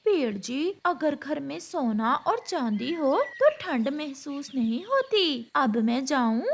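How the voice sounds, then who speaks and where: A woman's voice: high voice, very animated, slow pace, creaky, a little hiss or hum, exaggerated voice. A woman voicing a greedy female crow, whiny and boastful in a cartoon. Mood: smug. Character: greedy vain female crow who regrets it.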